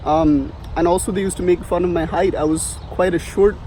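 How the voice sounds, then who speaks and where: A man's voice: high voice, steady tone, moderate pace, warm tone, slight background noise, rough recording. A young man in his twenties from India with a light Indian accent, giving rambling casual advice outdoors, full of fillers and restarts. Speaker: vlogger. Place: outdoors on a sunny street.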